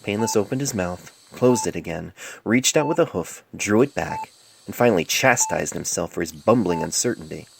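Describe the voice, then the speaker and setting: An amateur female narrator reads scripted fiction in a very low voice with plenty of fry. Her pitch moves around in a lively way. The cell phone recording carries clear noise. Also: normal speed. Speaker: amateur author and story narrator. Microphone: cell phone microphone.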